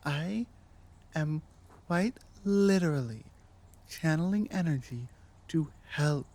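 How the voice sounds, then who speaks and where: Woman acting slow, mellow, low-voiced dragon elder. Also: animated, full character voice. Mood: proud, instructive. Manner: commanding, deliberate, with halting dramatic pauses. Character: proud ancient female dragon scholar, dominant, burdened by guilt. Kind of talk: ASMR roleplay audio drama.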